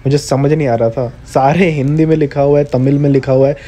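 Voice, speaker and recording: A man's voice: medium pitch, fairly even intonation, a little fast, dark tone. Chatty Indian man vlogging in a store. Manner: chatty, mixes Hindi and English, addresses viewers as guys. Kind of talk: casual Hindi-English shopping vlog. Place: indoor grocery store. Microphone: handheld camera, first-person view.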